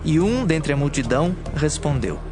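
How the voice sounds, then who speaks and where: A man's voice: on the high side, expressive, moderate pace, music in the background. A man with a Brazilian accent narrating a dramatized scripture in a scripted storytelling style.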